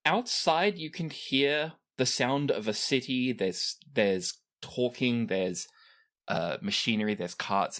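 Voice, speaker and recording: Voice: medium pitch, fairly bright tone. A game master improvising narration for a tabletop role-playing game in a conversational way.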